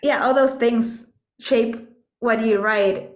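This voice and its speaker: A woman from Puerto Rico speaking as an interview guest, reflective and thoughtful, often pausing to search for words. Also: a little high, steady tone. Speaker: interview guest, composer and musician. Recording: echoey room sound.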